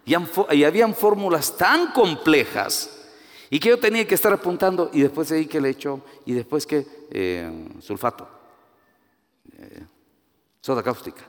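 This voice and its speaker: A man's voice: fairly high pitch, normal speed, big-room sound. A man from Guatemala with a Guatemalan Spanish accent, preaching a sermon with personal stories and acting out the voices he quotes. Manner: animated, rises to emphatic peaks, acts out quoted voices.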